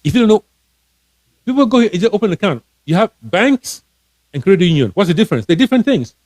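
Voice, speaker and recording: A fairly high-voiced man from Cameroon coaching listeners on money in an earnest, encouraging way, often stumbling and repeating words. His voice sounds close and dry, with no room around it. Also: sing-song, quick pace, a little mellow, no echo. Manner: repetitive, restarting, earnest and encouraging. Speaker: financial coach, author. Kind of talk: casual live-stream advice talk.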